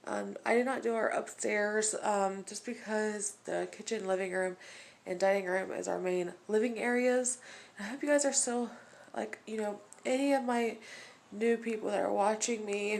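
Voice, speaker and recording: A woman's voice: medium pitch, a little mellow, slight background noise. A woman from Ohio with a nasal, allergy-stuffed voice, giving a casual home tour on a handheld phone and filling her talk with lots of ums. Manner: slow, filler-heavy chatter with many ums. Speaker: home vlogger. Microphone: phone held in hand.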